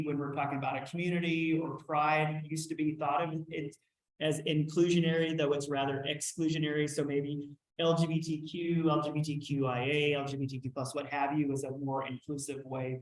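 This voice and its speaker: Texan guy teaching a workshop, kinda high voice, chatty with lots of ums, room echo. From Texas. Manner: conversational, with fillers and self-corrections. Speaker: trainer. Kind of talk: workshop lecture.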